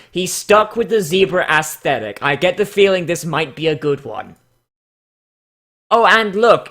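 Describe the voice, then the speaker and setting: A man's voice: a little high, fairly bright tone. A man hosting a comic reading, breaking in with mocking, exclamatory, profanity-laden commentary. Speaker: host.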